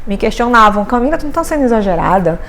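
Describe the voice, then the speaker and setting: A woman's voice: fairly high pitch, sing-song, rapid-fire, a bit bright, slight background noise. Earnest woman in her forties. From Brazil. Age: 40s. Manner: earnest, reflective, with many restarts and tag questions. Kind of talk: personal testimony interview.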